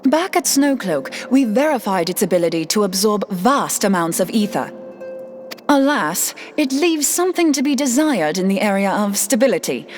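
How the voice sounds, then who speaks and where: Lively, blunt young scholar played by a woman. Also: mid-range voice, normal speed, faint music behind, close to an everyday voice. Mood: matter-of-fact. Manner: plain-spoken, colloquial, wry. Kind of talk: fantasy game cutscene acting.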